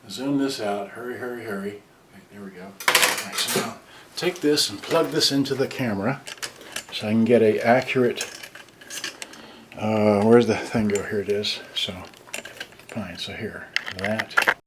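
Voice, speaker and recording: A man giving a casual, unscripted product demonstration, halting and scattered as he mutters to himself. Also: on the low side. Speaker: seller demonstrating vintage cassette radio. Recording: very noisy home video with clicks.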